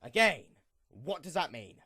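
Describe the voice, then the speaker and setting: A man's voice: on the high side, big pitch swings, unhurried, sharp tone, husky, full character voice. A man voicing an anxious, doubting young male pony sidekick in an audio drama, questioning and exasperated. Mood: puzzled. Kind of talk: fan audio drama acting.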